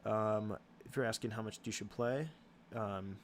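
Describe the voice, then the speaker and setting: A young man hosting a casual livestream Q and A, answering chat questions in a halting way with many ums and restarts. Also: lowish voice, steady tone, a little hiss or hum.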